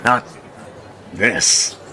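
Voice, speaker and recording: A man's voice: extremely slow, sharp tone, a faint crowd, slight background noise, full character voice. A man playing an earnest, grumbling, self-deprecating young soldier in an audio drama. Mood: frustrated, sarcastic.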